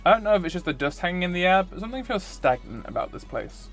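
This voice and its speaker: Male game streamer, slightly high voice, scripted first-person narration with faint music behind. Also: very animated, fast, fairly bright tone. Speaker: streamer reading a visual novel aloud. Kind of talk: scripted narration read aloud.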